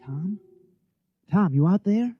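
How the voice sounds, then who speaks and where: A man's voice: high voice, animated, slow, music in the background. A man playing an eager, jovial village tavern keeper with a folksy, chatty manner in a 1950s-style radio drama. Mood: urging. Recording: dull, band-limited old radio recording.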